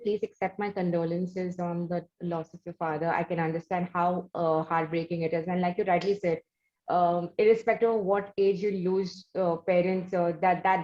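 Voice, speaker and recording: A woman with an Indian accent hosting an interview in a measured, formally phrased, conversational way with hesitant pauses. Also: lowish voice, dark tone. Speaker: interview host. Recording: roomy, dull lo-fi audio.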